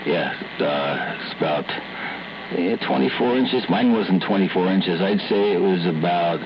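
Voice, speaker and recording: Through a muffled CB signal thick with loud noise comes a man with a deep voice. He chats loosely and colloquially, halting often as he thinks out loud. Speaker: CB radio operator. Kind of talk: casual chat. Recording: muffled, very noisy CB radio transmission. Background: loud radio noise.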